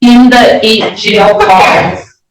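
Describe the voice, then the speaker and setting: A woman's voice: on the high side, fairly even intonation, drawn-out words. A woman prosecutor making a formal, methodical argument to a judge in a courtroom. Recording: very echoey courtroom, distant mic.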